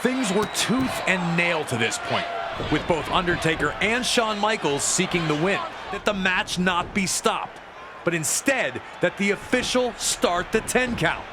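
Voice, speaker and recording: Guy doing dramatic wrestling narration, pretty high voice, emphatic, super noisy crowd all over it. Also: big pitch swings, unhurried. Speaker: sports narrator. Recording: loud crowd noise behind voice. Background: roaring arena crowd.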